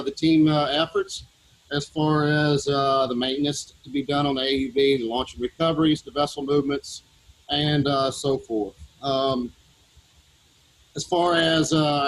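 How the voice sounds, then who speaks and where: A man with a Southern US accent, a team leader explaining his work to schoolchildren in a relaxed way with many fillers, aboard a ship. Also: on the high side, poor audio. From Louisiana, USA. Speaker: underwater vehicle team leader. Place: operations room on a ship.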